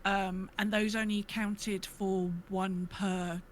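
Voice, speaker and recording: A woman's voice: mid-range voice, flat delivery, takes it slowly. Woman podcast co-host, informal gaming chatter, mid-range voice, slight noise behind her. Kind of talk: casual chat about gaming.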